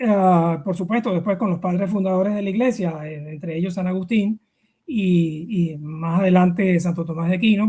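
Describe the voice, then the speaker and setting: High-voiced middle-aged Venezuelan man. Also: a little mellow. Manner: hesitant, with many filler words and repetitions. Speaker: interview guest. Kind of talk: informal explanatory conversation.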